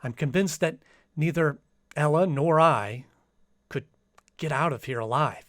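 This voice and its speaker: A man's voice: a little high, measured pace, no room sound, natural voice. A man playing an earnest, anxious trapped diarist in an old-time radio drama, speaking uneasily. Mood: uneasy, confiding. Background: music.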